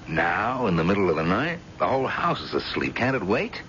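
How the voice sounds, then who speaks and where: An actor playing a gruff, suspicious, belittling husband in an animated, theatrical 1970s radio drama style. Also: male voice, on the low side, a little fast. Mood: irritated. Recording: dull, noisy old radio broadcast.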